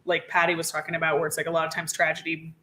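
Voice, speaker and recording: A woman's voice: low-pitched, completely flat delivery, racing, pushed and bright, slight background noise. A woman moderating a paranormal convention panel, talking in an upbeat, giggly way. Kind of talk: casual convention panel talk.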